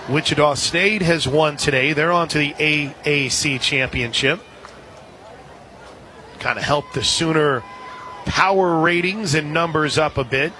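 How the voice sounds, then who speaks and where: Guy doing radio softball play by play, high voice, gets excited, short punchy calls, noisy ballpark behind him. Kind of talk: live softball play-by-play commentary. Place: outdoor softball stadium. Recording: live outdoor stadium broadcast, crowd noise. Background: loud crowd and ballpark ambience.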